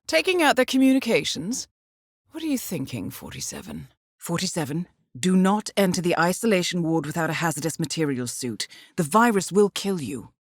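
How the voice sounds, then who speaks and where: A woman voicing a composed middle-aged intelligence handler in a video game, measured and formal with dry understatement. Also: fairly low pitch, dry recording. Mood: dryly amused. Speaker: mission handler. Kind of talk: scripted game voice acting, mission briefing.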